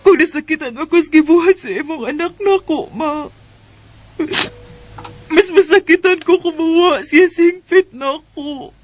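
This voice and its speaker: Sobbing, high-pitched betrayed young wife. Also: female voice, unhurried. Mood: tearful, pleading. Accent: Cebuano Filipino. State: crying. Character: heartbroken betrayed young wife, pleading yet devoted. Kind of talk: Bisaya radio drama acting.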